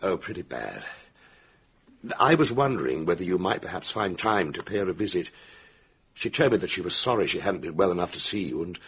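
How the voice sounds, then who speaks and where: A man playing an eager, well-mannered, take-charge gentleman in a radio mystery drama. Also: low-pitched, sing-song, husky, heavily acted. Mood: courteous. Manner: brisk, well-mannered, forthcoming.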